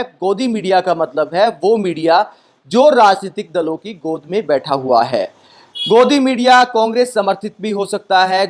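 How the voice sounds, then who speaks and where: High-pitched man delivering critical news commentary. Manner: emphatic, rhetorical questioning with repeated phrasing. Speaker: presenter of a news commentary video.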